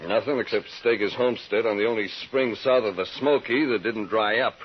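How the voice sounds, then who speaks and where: A spiteful, gossiping frontier homesteader, played by a male actor who needles and repeats himself in an American accent. It is 1950s western radio acting, on a muffled recording with clear background noise. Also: fairly low pitch, fairly quick, close to an everyday voice. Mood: accusing. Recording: dull, noisy old radio recording.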